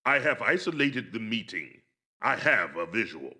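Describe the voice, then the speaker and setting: A man's voice: fairly low pitch, big pitch swings, moderate pace, pushed and bright, exaggerated voice. An actor playing a focused Klingon crewman, terse and procedural, in a video game cutscene.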